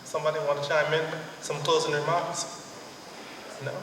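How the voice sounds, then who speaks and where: A man moderating a town hall meeting, relaxed and coaxing as he calls for remarks. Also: on the high side, normal speed, a little hiss or hum. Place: large sports hall. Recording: echoey hall, heard from far off.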